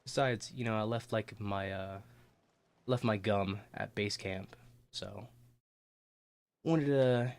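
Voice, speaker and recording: A man streaming a video game, chatting casually and jokingly while playing, his throat getting a little rough as he's coming down with a cold. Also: on the low side, slow, long pauses. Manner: joking, rambling with restarts. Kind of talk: casual gaming livestream chat.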